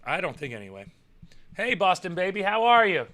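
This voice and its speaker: A young man chats loosely on a live stream and answers viewers as he goes. His voice is bright and forceful. He rambles, repeats himself and chuckles often. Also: high voice, very animated, fairly quick. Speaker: live show host and pro wrestler. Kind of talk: casual live-stream chat reading viewer comments.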